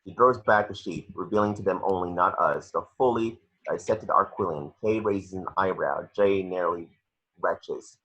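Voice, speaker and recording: A man's voice: low voice, normal speed, fairly bright tone, husky. A man reading scripted action descriptions as narrator for a table read, on a muffled home recording.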